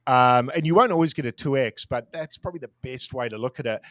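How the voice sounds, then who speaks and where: A man's voice: medium pitch, quick pace, a bit bright, no room sound. Candid forty-year-old man, dry sound. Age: 40s. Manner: candid, self-deprecating, swears casually. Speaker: crypto trader guest. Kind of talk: casual podcast chat.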